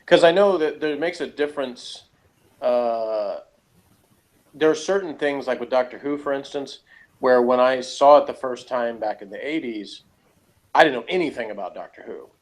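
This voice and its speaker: Middle-aged male, mid-pitched, for a casual review podcast host who thinks aloud and meanders. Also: normal speed. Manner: conversational, rambling, self-qualifying.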